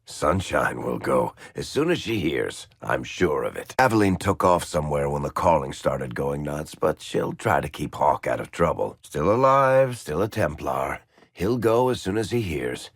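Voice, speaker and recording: A sardonic game rogue with a low, husky American voice. He teases like a born storyteller, his lines swinging freely up and down with a gravelly edge. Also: sing-song, normal speed, no room sound, studio quality, heavily acted. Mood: matter-of-fact. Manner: wry, sardonic, teasing. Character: wry roguish male storyteller, sardonic and loyal. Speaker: game character voice actor. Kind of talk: video game party banter.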